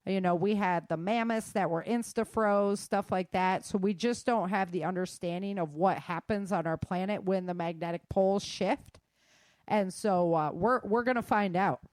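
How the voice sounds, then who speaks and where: A woman's voice: mid-range voice, moderate pace, a little mellow, dry recording. A woman hosting a show, running through news in an informal, slangy way with chatty asides. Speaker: host of a survival news show.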